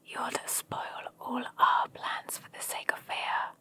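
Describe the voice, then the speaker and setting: A woman voicing a villain muttering tersely to herself in an insistent breathy whisper, in an ASMR roleplay. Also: slow, sharp tone. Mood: scornful, insistent.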